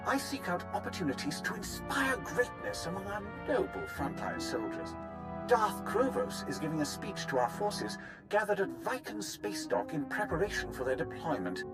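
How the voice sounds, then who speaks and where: High, unctuous imperial lord. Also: male voice. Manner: formal, unctuous, flowery. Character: obsequious, grandiose male imperial propaganda lord. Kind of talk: video game voice acting. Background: loud game music.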